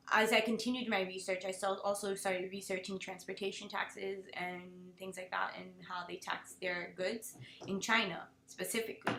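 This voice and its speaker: A young woman from Haiti giving a class presentation speech, earnest and halting, with restarts and fillers. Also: mid-range voice, bright, forceful tone. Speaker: student presenter. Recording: echoey room.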